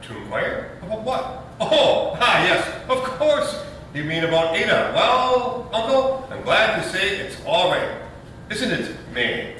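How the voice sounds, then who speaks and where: A man's voice: high voice, big pitch swings, slow pace, bright, forceful tone, heavily acted. An actor playing a brash, scheming young father in a readers theater comedy, glib and emphatic. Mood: jaunty. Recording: echoey hall, distant mic, faint noise.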